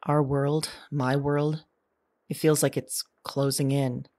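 A woman's voice: extremely low pitch, flat delivery, takes it slowly, mellow, no room sound. A woman playing an anxious, searching modern woman in an audio drama, with a hesitant, confessional delivery. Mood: scared, despairing. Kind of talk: audio drama monologue acting.

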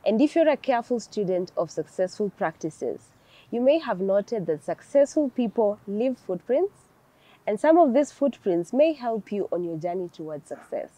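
A woman's voice: mid-range voice, very animated, normal speed, dark tone, dry recording, rough recording. A woman in her thirties with a Kenyan accent, speaking as a talk show host on a lapel mic. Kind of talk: interview with scripted introduction.

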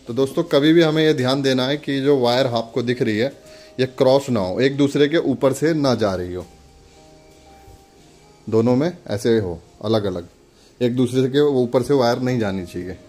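A man's voice: medium pitch, moderate pace, a little mellow, faint music behind. An Indian man giving a casual step-by-step product demonstration, instructing viewers in a friendly, direct address.

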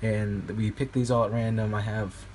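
Slightly raspy young man with hesitant ums. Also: lowish voice, level tone, normal speed, a little mellow, slight background noise. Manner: rambling, hesitant, many ums. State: a bit sick. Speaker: gaming YouTuber. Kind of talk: casual game commentary. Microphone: phone filming a screen. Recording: music playing behind.

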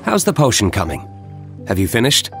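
A man playing a composed, courteous young gentleman merchant in a video game, speaking formally and in a measured way. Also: fairly low pitch, wildly swooping pitch, music in the background. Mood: pleased, composed. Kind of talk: video game character acting.

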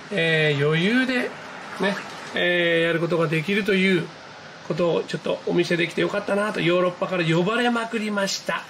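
A man giving an excited, casual running commentary to viewers as a radio hobbyist, exclaiming and muttering to himself. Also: high-pitched, slight background noise, rough recording. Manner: exclamatory, talking to himself and the screen. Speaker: amateur radio hobbyist narrating own video. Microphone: handheld camera mic, pointed at computer screen.

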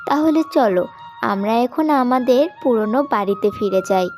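Casting: woman as soft-hearted forgiving young wife, cartoon tale, high girlish register. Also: animated, normal speed, close to an everyday voice. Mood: pleading, gentle. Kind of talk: cartoon story acting. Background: background music.

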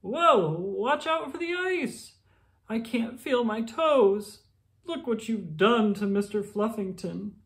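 Reader doing worked-up townsfolk in a kids book, really high voice, exclaiming, demanding. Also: lively intonation, slow pace, a bit bright. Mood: distressed. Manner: exclaiming, insistent. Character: excitable, demanding small-town townsfolk.

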